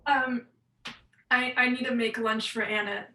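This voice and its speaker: Distant and roomy, an actress plays an exasperated adult daughter with a bright, sharp voice. She is terse, wary and insistent, a bewildered woman in a one-act play. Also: on the high side, steady tone, measured pace. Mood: stunned, reeling.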